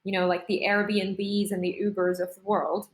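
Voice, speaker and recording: A woman's voice: mid-range voice, flat delivery. Founder in an echoey room, woman. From Singapore. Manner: articulate, measured storytelling. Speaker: startup founder, guest. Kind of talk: podcast interview.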